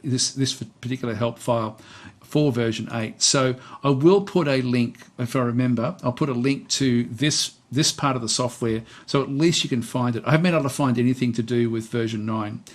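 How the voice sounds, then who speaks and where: A man giving a casual, unscripted software tutorial and review, rambling and hesitant, often repeating himself as he explains. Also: medium pitch, normal speed.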